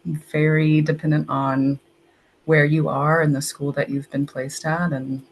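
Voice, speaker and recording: A woman's voice: low-pitched, level tone, measured pace, rough recording. A young woman from Texas, a guest on a podcast, telling stories in a chatty way and often saying "like". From Texas, United States. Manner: chatty, uses "like" often, storytelling. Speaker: guest, English language assistant. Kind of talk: casual interview.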